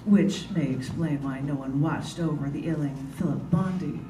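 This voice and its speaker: Female author at a live bookstore reading, deliberate pacing with pauses, distant mic, clearly noisy background. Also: low-pitched, reverberant. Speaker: writer reading own prose and poems. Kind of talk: live literary reading of prose and poetry. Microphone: camera mic at a distance.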